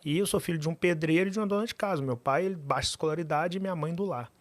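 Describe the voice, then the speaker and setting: A man, a podcast guest telling his life story with animated, emphatic repetition. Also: on the high side, very fast, dry recording. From Minas Gerais, Brazil.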